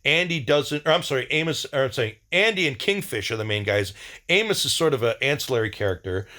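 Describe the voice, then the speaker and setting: Man, mid-pitched voice, casual vlog monologue, enthusiastic, informal, rambling, slangy. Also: animated, brisk, pushed and bright. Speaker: video diary host.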